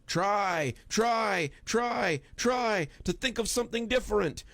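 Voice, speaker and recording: Frantic high-pitched chanting madman. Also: lively intonation, takes it slowly, creaky, fairly bright tone, dry recording, exaggerated voice. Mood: frantic, desperate. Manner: desperate, repetitive chanting. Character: frantic shouting man chanting on a phone line. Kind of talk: horror audiobook character voice.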